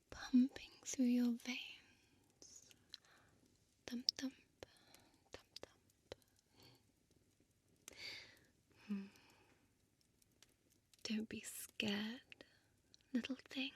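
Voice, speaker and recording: A woman playing a seductive, possessive female vampire in an intimate whispered audio roleplay, with slow, deliberate, teasing formal speech, close to the microphone. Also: fairly high pitch, steady tone, darkish tone, heavily acted. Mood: menacing, seductive. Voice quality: breathy whisper. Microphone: close dry studio microphone.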